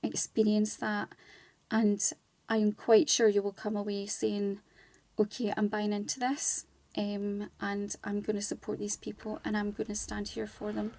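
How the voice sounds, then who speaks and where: A woman's voice: mid-range voice, monotone, a little slow, a little mellow, no echo. A woman talking conversationally as a guest in a radio interview, explaining things in an earnest way. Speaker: guest, charity volunteer and community ambassador.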